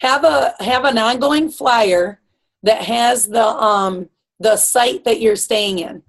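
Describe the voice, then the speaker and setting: Raspy-voiced woman leading a video call. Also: a little high, slow. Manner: encouraging, emphatic. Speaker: team leader hosting the call. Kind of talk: casual motivational chat. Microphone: computer mic on a video call.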